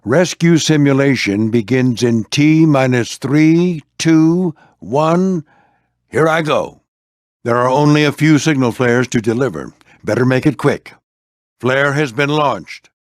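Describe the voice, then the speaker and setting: An older man voicing a veteran warplane skipper in a video game, a gruff, fatherly flight instructor with folksy, military phrasing. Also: mid-range voice, takes it slowly, no echo, studio quality, natural voice. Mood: brisk, military. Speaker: squadron skipper and mentor. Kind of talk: animated video game character voice lines.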